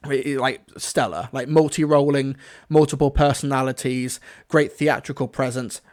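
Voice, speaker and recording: A man's voice: medium pitch, steady tone, normal speed, a little mellow. A man hosting a casual, off-the-cuff review stream, talking in an enthusiastic, rambling way. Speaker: reviewer, livestream host.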